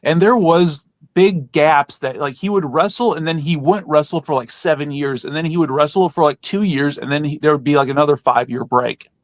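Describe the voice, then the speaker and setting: A man's voice: a little high, normal speed, few pauses, dry recording. Man chatting casually, close dry sound. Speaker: podcast co-host. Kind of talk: casual chat about wrestling.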